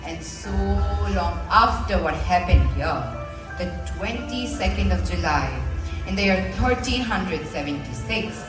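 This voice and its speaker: A woman's voice: mid-range voice, lively intonation, unhurried. Young woman, declamatory verse narration, school play, far from the mic, echoey. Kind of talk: dramatic verse recitation. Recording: distant echoey stage with loud music.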